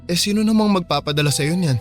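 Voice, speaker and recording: An actor playing a helpful young male friend in a radio drama, casual and low-key in his talk. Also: fast talker, faint music behind, natural voice. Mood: concerned, helpful. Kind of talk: radio drama dialogue in conversational Filipino.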